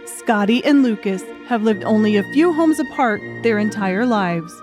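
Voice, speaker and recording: A woman's voice: mid-range voice, animated, normal speed, a little mellow. A woman reading a scripted audiobook story as a dramatic narrator. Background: music.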